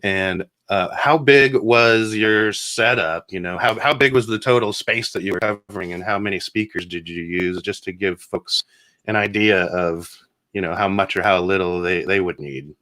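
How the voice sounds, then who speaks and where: A man's voice: deep voice, normal speed, pushed and bright. An American man talking casually as a product manager, often checking for agreement. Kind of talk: casual unscripted discussion.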